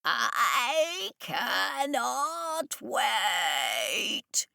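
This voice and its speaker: A woman voicing a vast, obsessive alien entity that speaks slowly and commandingly, its voice thundering from the walls. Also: sing-song, creaky, sharp tone, no echo, clean studio sound, soft background music, heavily acted. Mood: desperate. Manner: slow, booming, commanding.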